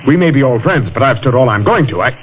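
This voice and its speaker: Dull old-radio sound, an actor as a smug, animated man. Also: mid-range voice, fast, soft background music, natural voice. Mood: defensive, curt. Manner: haughty, scornful, theatrical. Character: smug, mocking, handsome male stage actor. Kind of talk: 1940s radio drama acting. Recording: slightly muffled, hissy vintage radio broadcast.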